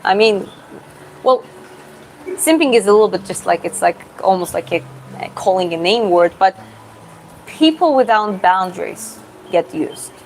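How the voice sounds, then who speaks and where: Georgian-accented young woman, halting chat. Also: mid-range voice, expressive, slight background noise, low-quality recording. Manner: hesitant, restarts words, thinks aloud. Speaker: creator answering viewer questions. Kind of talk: casual Q&A. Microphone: phone or camera close up.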